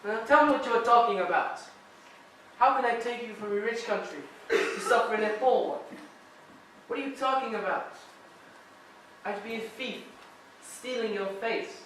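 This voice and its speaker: Man doing a proud young immigrant, careful halting english, stage acting, distant voice, echoing hall. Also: extremely high pitch, a bit bright, slight background noise. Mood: incredulous. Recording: echoey room, voice from far off.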